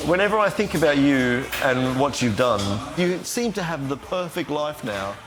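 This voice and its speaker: A man's voice: on the high side, animated, moderate pace, over music. A middle-aged man from India, a host asking friendly, encouraging questions in a casual interview.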